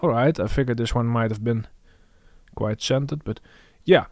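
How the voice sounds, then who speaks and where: A man hosting a music production tutorial, thinking aloud in an informal, halting way. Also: mid-range voice, a little mellow, no echo, clean studio sound.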